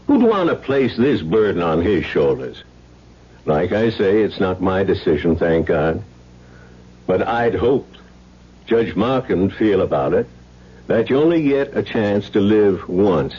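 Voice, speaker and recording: A husky, deep-voiced small-town sheriff. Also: male voice, very animated, heavily acted. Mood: solemn, compassionate. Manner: folksy, deliberate, reasoning aloud. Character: plain-spoken small-town sheriff, measured, fatherly old family friend. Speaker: radio drama actor. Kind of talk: 1970s radio drama acting. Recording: dull lo-fi old radio broadcast.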